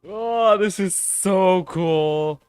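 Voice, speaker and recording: A man's voice: high voice, very slow, a little mellow, clean tone, no echo. A man livestreaming a game, chatting casually and thinking aloud, with some swearing. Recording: dry close sound, faint music.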